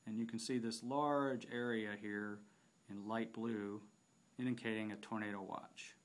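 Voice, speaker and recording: Low-pitched man giving an app tutorial. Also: fairly even intonation, unhurried. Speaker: software demonstrator. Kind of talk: unscripted app tutorial narration.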